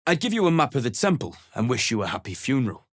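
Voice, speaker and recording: A man voicing a gruff, rough-spoken mercenary leader, surly and contemptuous. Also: medium pitch, sing-song, fast, fairly bright tone, dry recording. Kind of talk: video game voice acting.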